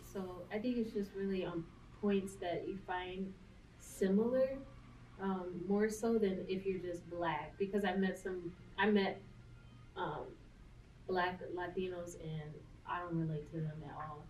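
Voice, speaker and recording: A woman's voice: lowish voice, steady tone, a little slow, darkish tone. A young woman from the United States, talking thoughtfully and casually with many "um"s and "like"s. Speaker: guest. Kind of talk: casual conversation. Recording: roomy, distant with faint noise.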